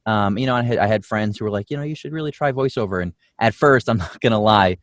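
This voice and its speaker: Laid-back man with a low voice. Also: fast talker, no echo, clean studio sound. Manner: laid-back, rambling with fillers. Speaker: voice actor. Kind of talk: casual panel chat.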